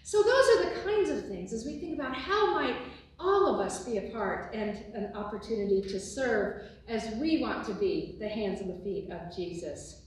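An older woman pastor with a booming voice giving church announcements and a benediction, recorded by a distant phone in a large sanctuary. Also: normal speed. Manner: booming, expressive, gesturing widely. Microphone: phone on a tripod from afar. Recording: echoey sanctuary from far off.

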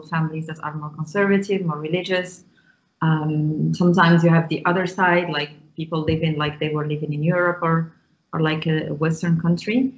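A woman in her thirties from Morocco, a guest on a video call interview, speaking thoughtfully with many ums and restarts. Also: low voice. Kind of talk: casual interview talk. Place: small room indoors. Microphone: video call with earphones. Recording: muffled video call audio.

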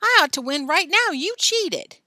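A woman voicing an excitable, suspicious schoolboy with indignant outbursts, in a children's storybook reading. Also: a little high, moderate pace, husky, no echo, clean studio sound, voice pushed up, exaggerated voice. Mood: outraged. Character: excitable, suspicious schoolboy accusing friends of cheating.

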